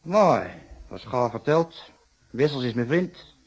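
An actor playing a cagey, grumbling, brusque working-class husband in a radio detective play, in colloquial, defensive Netherlands Dutch. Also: male voice, medium pitch, lively intonation, unhurried, slightly rough, muffled recording.

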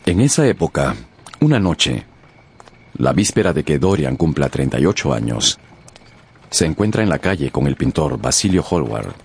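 A man's voice: a bass voice, very animated, normal speed, creaky, pauses often, slightly rough. A man narrating a literary radio drama in a scripted, dramatic storytelling style. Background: music.

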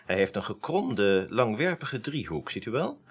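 A man's voice: fairly low pitch, very animated, moderate pace, husky. A man acting in a radio play as a pedantic, slightly reluctant forensic expert, precise and explanatory.

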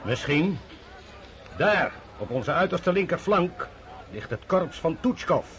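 An actor playing a sardonic army general in a radio drama, with a commanding, dry, condescending manner. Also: male voice, on the high side, big pitch swings, takes it slowly, a bit bright, slight background noise, heavily acted. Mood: commanding, dismissive.

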